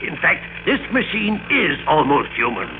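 A man's voice: a little high, very animated, normal speed, gravelly, phone-quality sound. A man acting a pompous, smug company boss in an old-time radio drama, speaking formally and with polite condescension. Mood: grandly proud. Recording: thin band-limited old radio recording, noisy.

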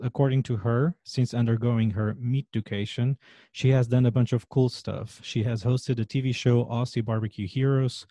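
A man's voice: mid-range voice, warm tone, dry recording. A man from Portugal with a Portuguese accent, hosting an interview podcast in a playful way with joking asides. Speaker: podcast host.

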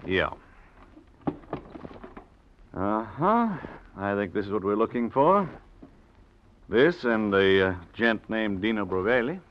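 Low-voiced, unhurried, shrewd radio lawman. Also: expressive, slight background noise. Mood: cool, businesslike. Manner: casual, hesitant drawn-out phrasing, quietly pressing. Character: shrewd, calmly insistent male federal police lieutenant. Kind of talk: 1940s radio acting. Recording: dull old radio recording.

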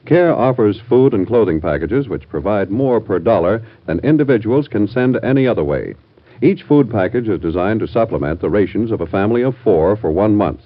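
Low-voiced American vintage radio announcer, muffled. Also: male voice, animated, brisk. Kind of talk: scripted 1940s-50s radio announcing. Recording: muffled vintage radio broadcast, faint music.

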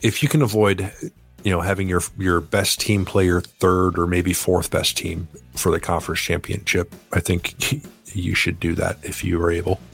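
A man co-hosting a sports betting podcast, measured and analytical as he weighs the odds. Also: sits low, level tone, vocal fry, slightly rough, soft background music. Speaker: podcast co-host. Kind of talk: casual sports betting chat.

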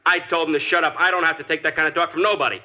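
An actor playing a sullen, wisecracking young army deserter in a 1950s radio crime drama. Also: male voice, a little high, steady tone, racing, bright, forceful tone. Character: sneering defiant young male army deserter gunman. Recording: dull old radio broadcast.

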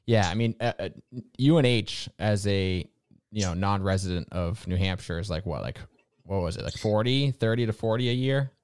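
Enthusiastic low-voiced podcast host. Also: male voice, normal speed, darkish tone, clear, resonant tone, no echo, clean studio sound. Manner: enthusiastic and informal, says "dude" and "like" often. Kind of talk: casual interview hosting.

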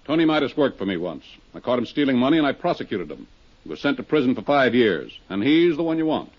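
An actor playing a rude, bad-tempered older businessman with a husky voice, barking his lines in a muffled 1950s radio drama. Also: mid-range voice, very animated, fast. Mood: belligerent. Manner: gruff, barking and contemptuous.

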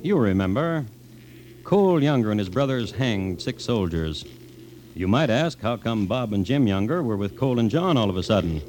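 A man narrating an old radio western in a measured, dramatic, folksy storytelling style. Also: lowish voice, sing-song, darkish tone, slight background noise, low-quality recording. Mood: wry, storytelling.

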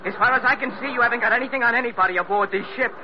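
An actor playing a defiant, hot-tempered ship's officer with hard, clipped delivery in a vintage radio drama. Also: male voice, extremely high pitch, rapid-fire. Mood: threatening, defiant. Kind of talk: 1940s radio drama acting. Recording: muffled, hissy old radio recording.

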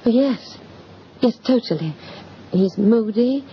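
A woman's voice: a little high, expressive, takes it slowly. An actress playing an anxious, genteel wife and mother in an old radio drama, fretful and hesitant about her husband. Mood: worried. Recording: muffled, noisy old radio drama recording.

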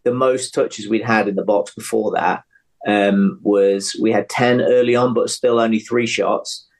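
A man with a fairly low voice talks as a sports analyst on a podcast. His delivery is conversational, working through tactics and numbers in a casual way. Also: fairly even intonation, unhurried.

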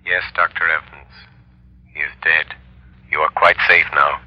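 An actor playing a mysterious, all-knowing invisible crime fighter in an old radio thriller, speaking with measured, ominous command. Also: male voice, rumbling low, level tone, bright, forceful tone, heavily acted. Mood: calm, authoritative. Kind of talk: 1930s-40s radio thriller acting. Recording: dull, lo-fi old radio recording.

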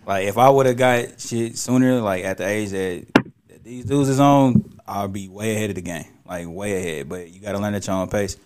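A man's voice: lowish voice, moderate pace. A man of about thirty chatting casually as a podcast guest, slangy and repeating himself for emphasis. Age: around 30. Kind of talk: casual group podcast chat. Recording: clearly noisy background.

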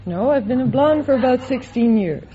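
A woman's voice: sits high, expressive, normal speed, mellow. Matter-of-fact young American woman, vintage radio sound. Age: 20s. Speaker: contestant, resident physician. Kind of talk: unscripted interview answers. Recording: dull old radio recording, faint noise.

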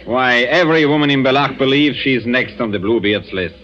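An actor playing a commanding German army colonel in 1940s radio drama, clipped, formal and authoritative. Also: male voice, medium pitch, fairly quick, a bit bright, natural voice. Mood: stern, surprised. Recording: muffled 1940s radio broadcast, music behind.

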